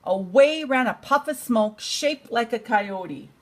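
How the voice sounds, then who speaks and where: An older woman, a grandmother, reading a bedtime storybook aloud to a child in an expressive, unhurried way. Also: on the high side.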